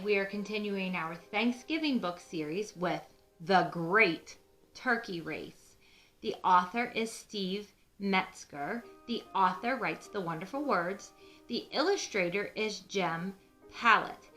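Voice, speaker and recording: Slow, bright-voiced woman reading a storybook. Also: mid-range voice, expressive, soft background music. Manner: slow, deliberate, explains things simply. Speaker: children's read-aloud host. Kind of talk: storybook read-aloud with asides to children.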